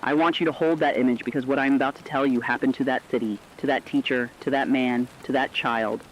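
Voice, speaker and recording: Man acting as grave radio narrator, deliberate phrasing, scripted apocalyptic documentary, dull lo-fi sound, hiss and rain behind. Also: medium pitch, steady tone, natural voice. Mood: grave, reflective. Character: somber male survivor-radio narrator of a collapsing world.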